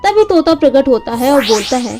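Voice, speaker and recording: High-voiced woman storyteller. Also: big pitch swings, a little fast, warm tone. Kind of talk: scripted narration of children's bedtime story. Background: music.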